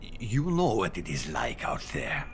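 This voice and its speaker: An actor playing a proud, defensive cult prophet with a pompous manner in a dramatic video game scene. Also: male voice, sits low, wildly swooping pitch, measured pace, over music, heavily acted.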